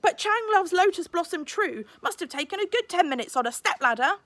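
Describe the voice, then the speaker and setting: A woman's voice: very high-pitched, expressive, brisk, a bit bright, no echo, voice pushed up. A woman voicing a bad-tempered male elephant master, roaring and indignant, in a children's storybook. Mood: stern, sarcastic.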